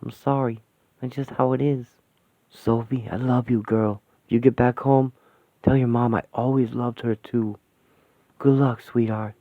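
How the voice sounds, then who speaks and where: A man voicing a rough, streetwise ghost father who speaks gruffly and tenderly. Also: medium pitch, steady tone, a little slow, no echo, pitched below the performer's own voice, natural voice. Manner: gruff street talk, soft tender delivery. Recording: dry tinny phone-like sound.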